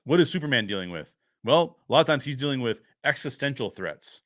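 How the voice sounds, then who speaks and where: A man with a mid-pitched voice talks like the host of a review show. His commentary is casual and opinionated, and he explains his points with emphatic stress on key phrases. The sound is close and dry, with very little room. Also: bright, forceful tone, no echo.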